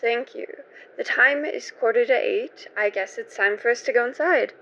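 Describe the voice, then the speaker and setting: A woman's voice: fairly high pitch, normal speed, gravelly, background noise. Dull-sounding woman narrating suspenseful radio theater. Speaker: host and narrator. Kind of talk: scripted first-person radio theater narration.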